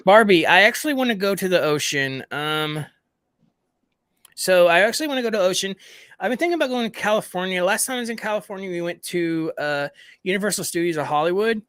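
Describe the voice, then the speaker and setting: A man's voice: high-pitched, lively intonation, fast talker, fairly bright tone, smooth, no room sound, studio quality. A man from the American South, hosting a casual livestream podcast chat, rambling and repeating himself as he talks to his viewers. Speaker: podcast host.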